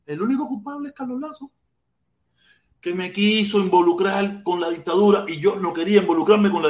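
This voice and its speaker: A Cuban man with a Cuban accent hosting an informal livestream, chatting with commenters in repetitive, slangy, swear-laden talk. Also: sits high. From Cuba. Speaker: livestream host. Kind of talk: informal live political rant and chat with commenters. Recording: echoey untreated room.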